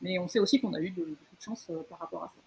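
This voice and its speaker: A woman's voice: on the low side, racing, darkish tone, a little hiss or hum, rough recording. A woman author recounting her experience in a panel discussion, repeating words as she searches for phrasing. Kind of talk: panel discussion, personal account.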